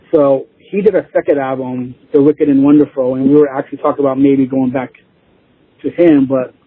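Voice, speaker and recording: A man's voice: on the high side, breathy edge, dry recording. A man being interviewed as a band drummer, chatting casually in a rambling way with lots of "you know," over a lo-fi call. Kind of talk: casual chat. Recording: lo-fi phone-like line.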